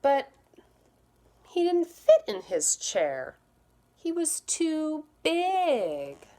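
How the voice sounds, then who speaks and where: A woman teacher reading a story aloud to young children, speaking to them directly in a gentle, expressive way. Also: high voice, drawn-out words.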